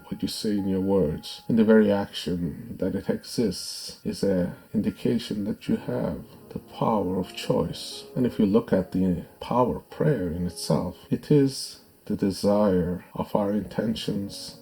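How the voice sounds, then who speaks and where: A man narrating a guided meditation in a measured, soothing, instructive way. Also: fairly low pitch, dark tone. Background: background music.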